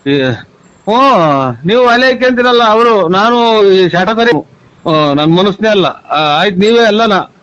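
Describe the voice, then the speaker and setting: Man on a muffled phone call, high smooth voice, rural Tumakuru accent, rambling and baffled, protesting. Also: unhurried, long pauses, a bit bright, dry recording. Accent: rural Tumakuru Kannada. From Tumakuru district, Karnataka. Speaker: prank call recipient. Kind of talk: casual phone conversation.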